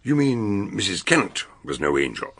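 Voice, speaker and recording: An actor playing an eccentric, genial older English detective doctor in a radio mystery, musing and questioning with deliberate hems. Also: male voice, on the low side, very animated, creaky, a bit bright, breathy edge, heavily acted. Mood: musing, probing. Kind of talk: British radio mystery drama.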